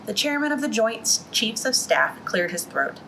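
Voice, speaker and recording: A young woman reading a novel aloud at a library storytime, in an even reading voice with quick restarts after slips. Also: fairly high pitch, creaky, a little hiss or hum, rough recording.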